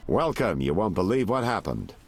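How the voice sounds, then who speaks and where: A man's voice: on the low side, very animated, moderate pace, vocal fry, husky, soft background music, full character voice. An actor playing a shrewd, mercenary male informant in a video game, haggling and insinuating in a wry way.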